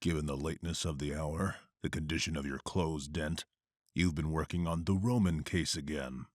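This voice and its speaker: A man playing a grim, brooding vigilante who narrates and speaks tersely and gravely. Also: rumbling low, steady tone, normal speed, no room sound, clean studio sound. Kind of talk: inner narration and dialogue.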